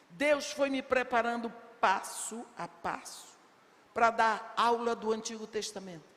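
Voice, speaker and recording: An older woman preaching a sermon to a women's congress, emphatic, with rhetorical questions and bursts of shouting. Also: on the high side. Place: large church hall. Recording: long reverb of a big hall.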